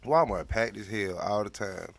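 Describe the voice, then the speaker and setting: Deep, laid-back man, thirty-one. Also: normal speed, vocal fry, a bit bright. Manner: laid-back, drawling, slangy. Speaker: podcast guest. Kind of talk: casual chat.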